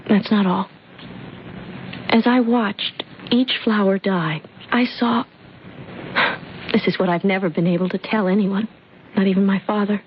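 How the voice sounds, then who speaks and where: A woman's voice: mid-range voice, steady tone, measured pace, pauses often, close to an everyday voice. An actress playing a sensitive, impressionable young woman with hesitant wonder, in old-time radio drama style. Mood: hushed awe. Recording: muffled radio recording with noise.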